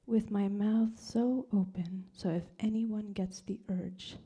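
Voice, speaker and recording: A woman in her thirties performing poetry at a live reading, mixing English and Spanish, swinging between hushed whispers and loud cries. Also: medium pitch, steady tone, slow, slightly rough. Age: 30s. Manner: dramatic, shifting from whispers to screams. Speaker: poet reading at a live event.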